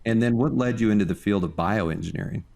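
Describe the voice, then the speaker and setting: A man's voice: fairly low pitch, fast talker, creaky, darkish tone. A man hosting an interview podcast, moving between scripted introduction and questions to a guest. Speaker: podcast host.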